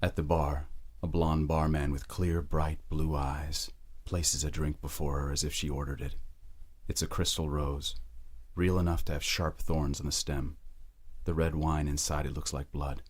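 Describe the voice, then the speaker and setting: A man with an extremely low voice narrates a dark romance story. He delivers it deliberately, in short measured phrases, as dramatic scripted audiobook narration. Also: flat delivery.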